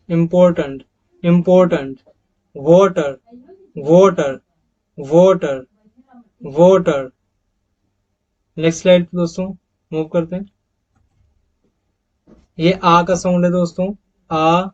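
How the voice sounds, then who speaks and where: A man's voice: fairly high pitch, darkish tone. A man with an Indian accent teaching English phonetics over slides, repeating each model word several times and calling his viewers friends. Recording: dull lo-fi recording.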